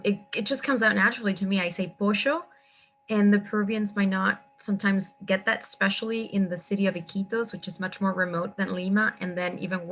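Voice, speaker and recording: A woman's voice: mid-range voice, a bit bright. A woman speaking as a panelist, searching for words and laughing lightly. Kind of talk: casual panel talk.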